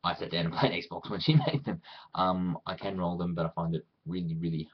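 A man's voice: low-pitched, little pitch movement, fairly quick, husky, muffled recording. A man with an Australian accent chatting casually in a rambling, self-deprecating way, as a vlogger talking to viewers. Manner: rambling, self-deprecating, often acting out imagined quotes. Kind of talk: casual chat to camera.

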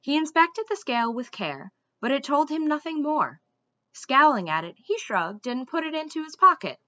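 Lively, bright young woman reading a story aloud. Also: sits high, moderate pace, no room sound, dull audio. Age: young adult. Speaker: teacher reading aloud to students. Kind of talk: expressive storybook read-aloud. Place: small room indoors at home.

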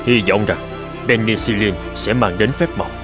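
A man's voice: lowish voice, moderate pace, lightly acted. A man voicing an earnest, solemn cartoon professor, music behind. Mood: grave concern. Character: earnest, hopeful middle-aged male professor of medicine. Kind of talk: dramatic animated-film dubbing.

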